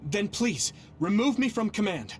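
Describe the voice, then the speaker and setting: An actor playing an earnest, idealistic young platoon commander in an English game dub, with a polite, sincere way of talking. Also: male voice, sits high, animated, a little slow, slight background noise. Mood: earnest, urgent. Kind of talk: English-dubbed video game cutscene acting. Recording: music behind the voice.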